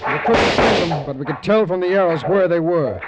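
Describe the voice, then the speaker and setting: An actor as a sardonic hard-boiled adventurer. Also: male voice, fairly high pitch, expressive, fairly quick, very noisy, close to an everyday voice. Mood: exasperated. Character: wisecracking, tough adventurer man narrating. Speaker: narrator and lead adventurer. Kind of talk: 1940s radio acting. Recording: dull, lo-fi old radio broadcast with music.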